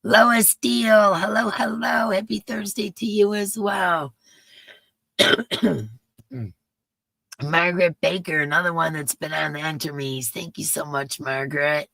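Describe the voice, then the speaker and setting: A woman with a raspy voice hosting a casual livestream in her yarn room, greeting chat viewers by name in a sing-song way and sounding emotional. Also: fairly low pitch, steady tone, unhurried, a bit bright, no room sound. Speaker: livestream host.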